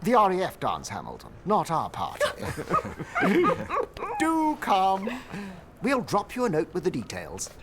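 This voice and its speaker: An actor with an English accent playing a hearty, smooth middle-aged gentleman in a period audio drama. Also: high-pitched, huge pitch swings, measured pace, very noisy, exaggerated voice. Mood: genial, inviting. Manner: hearty, clubby, genteel.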